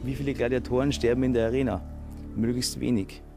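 A man's voice: medium pitch, flat delivery, quick pace, music in the background. A man speaking as an expert in a documentary interview, explaining and restating his points with emphasis.

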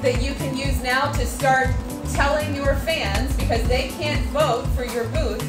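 A woman's voice: high-pitched, steady tone, a little slow. A middle-aged American woman making an informal, emphatic announcement to a gathering, speaking colloquially and prompting listeners with questions. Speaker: event host. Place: indoor venue at a mixer event. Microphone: camera a few metres away. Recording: roomy, distant mic, loud background music. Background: loud music.

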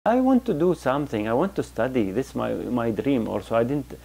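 Man in his forties, slow halting testimony, gravelly dark voice, lapel mic, soft music behind. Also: mid-range voice, expressive. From Iraq. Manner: slow, halting speech with many pauses. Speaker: interviewee. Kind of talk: personal testimony interview. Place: indoor office with bookshelves.

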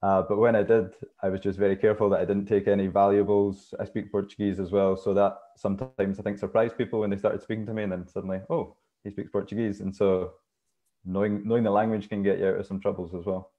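A man's voice: lowish voice, flat delivery, fast talker, mellow. A man in his thirties casually telling travel anecdotes as a guest on a round table. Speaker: tour guide, panel guest. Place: small room at home, indoors. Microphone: earphone mic on a video call. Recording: muffled video call audio.

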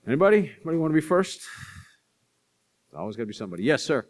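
A man's voice: a little high, rapid-fire. Man telling stories at a library event. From Boston area. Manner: animated storyteller, acts out voices in anecdotes, self-deprecating. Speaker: author speaking to an audience. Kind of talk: storytelling talk and audience Q&A. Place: library stage in front of a curtain.

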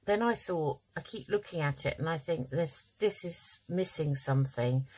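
A woman with a low, slightly croaky voice talks through a craft project. She is chatty and informal, thinking aloud as she works at her table. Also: darkish tone. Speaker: craft channel host. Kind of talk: casual craft tutorial, talking while working.

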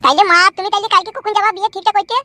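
Man voicing a shrill, brash young village guy. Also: fairly even intonation, rapid-fire, pushed and bright, no echo, exaggerated voice. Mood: coaxing. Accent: rural West Bengal. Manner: brash, slangy bickering. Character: hot-headed, foul-mouthed young village man, eager to marry. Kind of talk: comic cartoon dubbing.

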